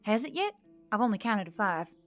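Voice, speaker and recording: A woman's voice: mid-range voice, big pitch swings, brisk, gravelly. A woman voicing a reluctant, exasperated young heroine in a fantasy story, wry, casual and sweary. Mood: exasperated, teasing. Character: Emily, reluctant, exasperated, sarcastic human heroine. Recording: background music under the voice.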